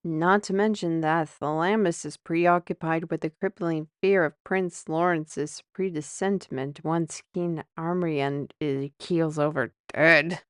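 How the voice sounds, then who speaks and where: A woman's voice: sits low, fairly even intonation, slow, hardly any pauses, clean tone, no echo, clean studio sound. A woman playing a blunt, wry young noblewoman with an impatient manner.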